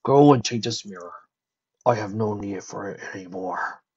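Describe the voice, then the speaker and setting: Guy doing a sad lovesick dragon in a story, gentle n earnest, kinda low and quiet. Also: moderate pace, husky, dull audio. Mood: resigned sadness.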